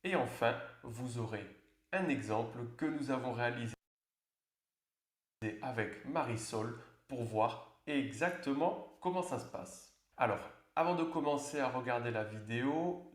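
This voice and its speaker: Slow, roomy male tutorial narrator. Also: mid-range voice. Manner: clear, instructive. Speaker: online French course presenter. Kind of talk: scripted exam tutorial narration.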